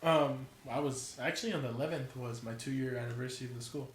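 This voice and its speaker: A man's voice: medium pitch, fast talker. A man in his twenties from California, a college football player talking as a podcast guest in casual, profane, slangy chat. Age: 20s. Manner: profane, laid-back slang. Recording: echoey room with faint noise.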